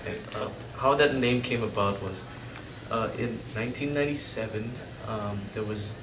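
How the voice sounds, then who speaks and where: Hesitant young man, low, roomy and distant. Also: normal speed. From Indonesia. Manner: hesitant, searching for words, many restarts and "like". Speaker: interviewee. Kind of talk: personal storytelling interview. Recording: roomy, distant mic, ambient noise.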